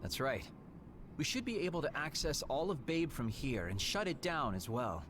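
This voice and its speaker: Skeptical male game fighter, expressive pitch actor. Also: normal speed, noisy background, natural voice. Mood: skeptical, measured. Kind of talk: English video game dub acting.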